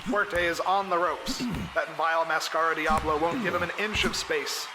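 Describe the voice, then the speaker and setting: A man playing an excitable lucha libre ringside commentator in an audio drama, calling out in dramatic, emphatic bursts. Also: on the high side, moderate pace, heavy background noise, lightly acted. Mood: excited.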